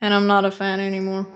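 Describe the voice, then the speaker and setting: A woman making quick teasing remarks in a group podcast chat. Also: medium pitch, lo-fi sound.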